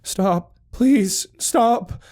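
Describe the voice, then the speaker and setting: A man's voice: extremely high pitch, extremely slow, darkish tone, voice pushed up, full character voice. A man voicing a terrified, desperate man in a horror story, pleading in a strained, cracking, whimpering voice. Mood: screaming panic. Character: terrified man pleading as his body crumbles away. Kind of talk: horror audiobook character acting.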